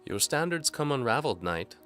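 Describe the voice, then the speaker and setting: A man's voice: mid-range voice, very animated, fairly quick, fairly bright tone, pitched above the performer's own voice. A man voicing a cool, teasing, scheming noblewoman in an audio drama, with music behind him. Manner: teasing, coolly firm. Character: alluring, scheming noble lady with a cool temper.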